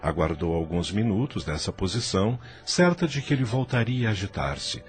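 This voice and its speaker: A man's voice: lowish voice, very animated, moderate pace, faint music behind. A man narrating an audio drama as a scripted storyteller.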